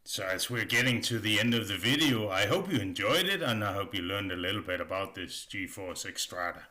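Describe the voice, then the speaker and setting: A man with a fairly low voice and a clearly bright, forward tone narrates a casual hobby review. He speaks in a rambling, unscripted way, hesitating and repeating words as he goes. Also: expressive, brisk.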